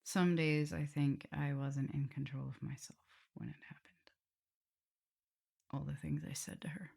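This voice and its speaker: A woman acts out a loving, nervous vampire mistress for an ASMR roleplay. Her voice sits very low for a woman, with heavy vocal fry. She talks haltingly and intimately, easily drifting toward a whisper. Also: steady tone, exaggerated voice. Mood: regretful. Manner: hesitant, halting, breaking into whispers and soft laughs. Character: tender, nervous, guilt-ridden female vampire mistress in love.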